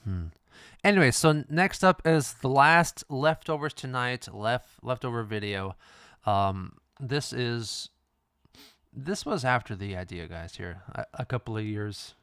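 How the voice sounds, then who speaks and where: Slow, relaxed man. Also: mid-range voice, clean tone, no room sound, studio quality. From Lithuania. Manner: relaxed, chuckling, halting restarts. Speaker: streamer host. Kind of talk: casual livestream chat. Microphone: studio dynamic microphone.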